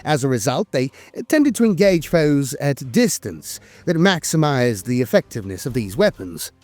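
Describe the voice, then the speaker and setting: A man reading scripted lore narration as an in-world chronicler, formal and measured, with frequent hesitations. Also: medium pitch, very animated, darkish tone, no room sound.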